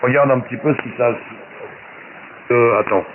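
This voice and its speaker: A man's voice: medium pitch, normal speed. A man chatting casually over amateur shortwave radio, speaking in a slangy, crude and hesitant way. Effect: shortwave ham radio transmission. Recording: narrow-band shortwave radio with faint static.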